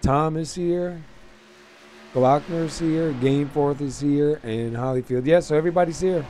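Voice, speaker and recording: Man, hoarse worn voice, soft dark tone, casual race host, halting delivery, engine noise behind. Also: a little high. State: hoarse, losing his voice. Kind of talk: casual sim racing commentary.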